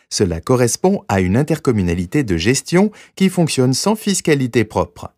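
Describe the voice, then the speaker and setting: A man narrating a scripted lesson in a formal, didactic way with measured phrasing. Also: medium pitch, darkish tone, dry recording, studio quality.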